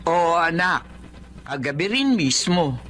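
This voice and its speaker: A woman's voice: lowish voice, big pitch swings, slow pace, a bit bright, full character voice. An actress playing a worried, suspicious middle-aged mother in a radio drama, with a Filipino accent and a fretful, emphatic delivery. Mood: worried, confiding. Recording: clearly noisy drama recording.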